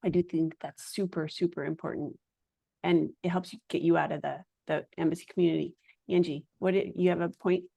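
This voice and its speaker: A woman's voice: lowish voice, steady tone, fairly quick, vocal fry, warm tone, breathy edge, dry recording. A woman podcast host who is a native Hungarian speaker, talking in a casual conversation and rambling with frequent hesitations.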